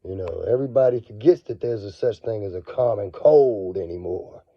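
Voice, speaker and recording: A man with a cold, his voice scratchy and raspy, talking casually as a finance vlogger. He swings between low murmurs and excited bursts. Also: normal speed, no room sound. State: hoarse from a cold. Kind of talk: casual video monologue. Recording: dull, lo-fi sound.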